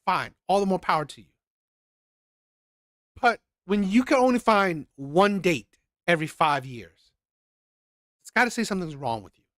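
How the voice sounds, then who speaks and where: Man mocking in a lively, bouncy voice. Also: sits high, moderate pace, pauses often, no echo, clean studio sound. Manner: mocking, repeats himself, mimics voices. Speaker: commentator reacting to a clip. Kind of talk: reaction commentary.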